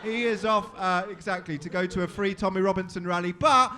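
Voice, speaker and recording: A man hosting a live comedy night, giving blunt, matey feedback to acts and loudly announcing the next one. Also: high voice, a little fast. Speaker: comedy show host. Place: comedy club stage.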